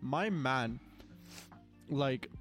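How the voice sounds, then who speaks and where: A man's voice: mid-range voice, expressive, very slow, a bit bright, faint music behind. A young man with a cold, congested, chatting casually as a podcast co-host and rambling with lots of filler words. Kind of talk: casual chat.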